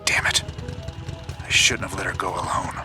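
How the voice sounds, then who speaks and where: A man plays a rugged, disillusioned rebel soldier in a game cutscene, blunt and direct. His voice sits fairly low. Music plays clearly behind him. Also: steady tone, moderate pace, close to an everyday voice. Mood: bitter. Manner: blunt, angry, then bitter. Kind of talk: video game cutscene acting.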